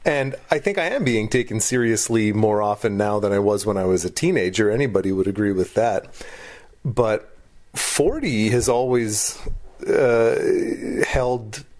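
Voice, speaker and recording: A man with a fairly low voice reflects on getting older. He speaks hesitantly and thoughtfully, pausing to restart his sentences. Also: darkish tone. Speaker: interviewee. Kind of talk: casual reflection.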